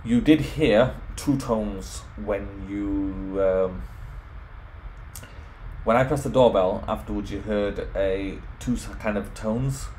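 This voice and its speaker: A man's voice: lowish voice, slow pace, darkish tone, slight background noise, low-quality recording. A man presenting an informal home automation tutorial, explaining things step by step. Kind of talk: informal software screen walkthrough.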